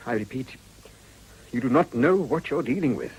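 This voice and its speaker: Reluctant gentleman confidant, husky, expressive intonation, cautious, formal, guarded, period radio drama. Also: medium pitch, normal speed, low-quality recording. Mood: firm.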